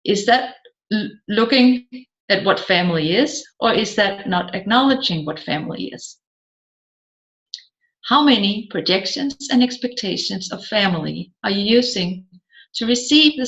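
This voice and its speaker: A woman's voice: mid-range voice, expressive, measured pace, fairly bright tone. A woman narrating a self-help audiobook, reading out seminar talk and question-and-answer exchanges. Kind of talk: read-aloud self-help seminar transcript with dialogue. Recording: roomy echo, slightly dull lo-fi sound.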